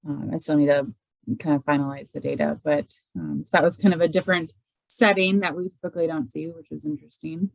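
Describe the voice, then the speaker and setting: A woman's voice: sits low, steady tone, fairly quick, vocal fry, no echo, rough recording. A woman giving an informal update on a conference call in a casual, tentative manner.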